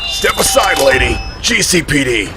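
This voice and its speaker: An actor playing a gruff, rattled city police officer. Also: male voice, mid-range voice, very animated, moderate pace, music in the background, close to an everyday voice. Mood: commanding. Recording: clear noise behind.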